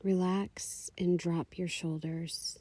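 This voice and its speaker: A woman leading a guided meditation, giving calm, soothing, unhurried instructions. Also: lowish voice, dry recording. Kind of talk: guided meditation, slow scripted narration.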